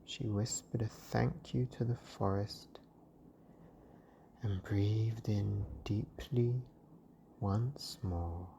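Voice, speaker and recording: A bedtime storyteller with a dark, mellow voice, reading extremely slowly. The delivery is hushed, breathy and gentle, in soothing scripted narration, with faint noise and soft ambience behind it. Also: mid-range voice, steady tone.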